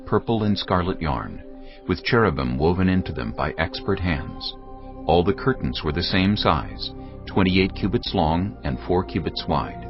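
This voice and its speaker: Deep-voiced male scripture narrator. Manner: measured, reverent. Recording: dull studio recording with soft music. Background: soft background music.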